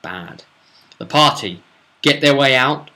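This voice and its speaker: A man casually recounting a tabletop role-playing session as game master, telling it in an informal, animated way with dramatic emphasis. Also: mid-range voice, takes it slowly.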